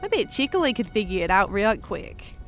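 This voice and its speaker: A woman voicing a grumpy, sharp-tongued horned woman in a fantasy audio story, with a blunt manner. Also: medium pitch, very animated, a little fast, faint music behind. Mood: matter-of-fact, encouraging. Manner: blunt, exasperated.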